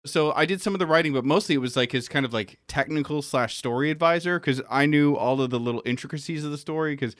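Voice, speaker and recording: Clear-voiced man chatting casually. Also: on the high side, fast, hardly any pauses, a bit bright, no echo, clean studio sound. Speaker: game master and story writer. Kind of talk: casual panel chat.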